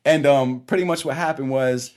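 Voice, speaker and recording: A man's voice: mid-range voice, normal speed. A man in his twenties telling a story in casual, slangy chat, often laughing as he goes. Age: 20s. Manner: animated, slangy, laughs through his stories. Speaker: podcast co-host.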